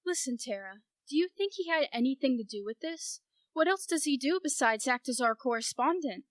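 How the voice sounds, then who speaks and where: A woman playing a brisk, wry, inquisitive newspaperwoman in an amateur radio play. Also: high-pitched, lively intonation, dry recording, studio quality. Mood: wry, suspicious.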